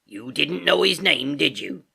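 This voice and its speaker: A man voicing a one-legged pirate landlord in an audiobook, hearty, sly and blustering, with rough, breathy, salty sailor talk. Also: mid-range voice, very animated, unhurried, sharp tone, full character voice. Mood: stern, interrogating. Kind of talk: audiobook character acting.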